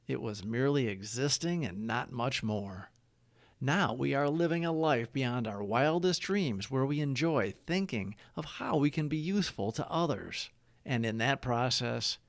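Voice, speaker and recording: A man reads scripted reflections in a medium-pitched voice that moves with lively intonation. His phrasing is measured and deliberate, broken into short clauses. The sound is close and dry, as in a read-along narration. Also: vocal fry, no room sound. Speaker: narrator of daily recovery readings.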